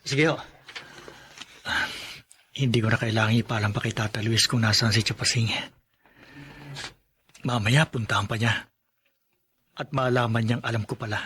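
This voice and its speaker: A man's voice: mid-range voice, steady tone, normal speed, long pauses, fairly bright tone, a little hiss or hum, close to an everyday voice. An actor playing a protective, worried young husband and expectant father in a radio drama. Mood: polite, guarded.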